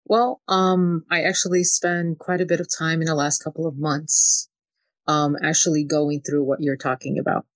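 A woman's voice: sits low, little pitch movement, normal speed, no room sound. A woman from Ukraine talking as a spiritual channeler and interview guest, often dropping in "you know" as she goes. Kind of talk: spiritual conversation.

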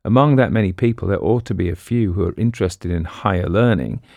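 A smooth-talking know-it-all con man, bossy and breezy, voiced by a man reading an audiobook. The voice is gravelly and sits low, taken close in a dry room. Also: quick pace, a little mellow, no echo. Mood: scheming. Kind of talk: audiobook character voice.

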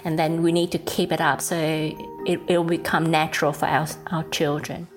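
A woman teacher speaking earnestly in an interview clip, pausing and restarting as she searches for words. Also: low voice, level tone, music in the background. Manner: earnest, slightly halting.

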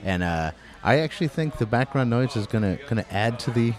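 A man's voice: medium pitch, expressive, fairly quick. A man hosting a podcast in a crowded restaurant, talking in a loose, chatty way and swearing casually. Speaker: podcast host. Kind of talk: casual interview.

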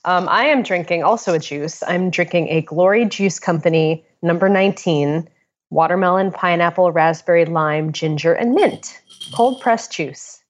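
A woman with a somewhat low voice co-hosts a podcast. She talks in an easygoing, bantering way, as casual chat, into a small portable mic on a phone. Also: normal speed, dull audio. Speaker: podcast co-host. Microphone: portable mic plugged into a phone.

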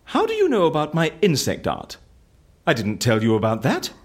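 A man playing an eccentric, excitable, childlike fussy fellow in an audio drama, with theatrical, exclamatory delivery. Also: on the high side, moderate pace, slightly rough, heavily acted. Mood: indignant. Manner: theatrical, exclamatory, quaint turns of phrase.

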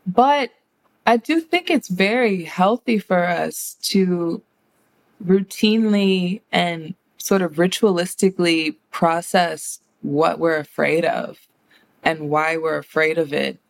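American woman, interview guest, thoughtful and conversational, fairly low voice, noticeable vocal fry. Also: slow pace. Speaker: interview guest, horror writer and director.

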